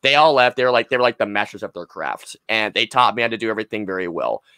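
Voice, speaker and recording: A man's voice: on the low side, expressive, fast, bright, forceful tone, smooth, dry recording. A man in his twenties chatting casually and telling stories in an emphatic, rambling, sweary way, close on a desk mic. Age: 20s. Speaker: podcast co-host. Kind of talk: casual chat, storytelling. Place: small room at home. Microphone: close desk mic on a boom arm.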